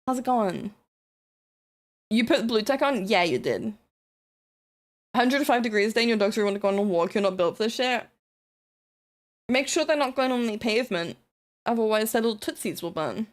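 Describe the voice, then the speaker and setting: A woman's voice: on the high side, animated, moderate pace, long pauses. Chatty woman with a Kiwi accent. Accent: New Zealand. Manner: rambling, chatty, self-deprecating, swears casually. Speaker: streamer. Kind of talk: casual live-stream chat while building models.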